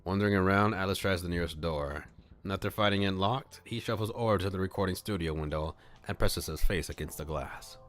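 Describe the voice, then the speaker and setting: A man's voice: sits low, fairly quick, a bit bright. A man streaming a read-aloud of a visual novel, narrating and adding casual, playful asides. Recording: music playing behind the voice. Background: music.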